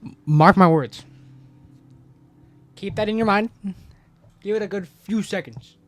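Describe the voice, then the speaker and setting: A man's voice: high voice, unhurried. A man giving casual, slangy reaction commentary on a horror video in an informal, uneasy manner.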